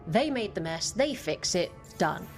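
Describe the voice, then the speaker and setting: An actress playing a brash, hearty adventurer in a video game cutscene, blunt and theatrical. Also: female voice, lowish voice, very animated, measured pace, slightly rough, faint music behind, exaggerated voice. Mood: matter-of-fact. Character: brash, hearty woman adventurer telling tall tales.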